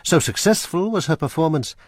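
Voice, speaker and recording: A man narrating a radio drama in a measured, suspenseful way. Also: fairly high pitch, expressive, no echo.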